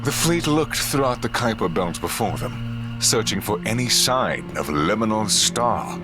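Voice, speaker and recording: Man, deep voice, animated intonation, ominous scripted narration, sci-fi drama, music underneath. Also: measured pace. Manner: ominous, solemn. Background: cinematic music.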